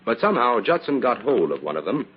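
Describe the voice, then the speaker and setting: An actor playing a self-assured amateur detective in a 1940s radio drama, explaining in a measured way. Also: male voice, medium pitch, very animated, slightly rough. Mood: calm, assured. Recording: dull, muffled vintage radio recording.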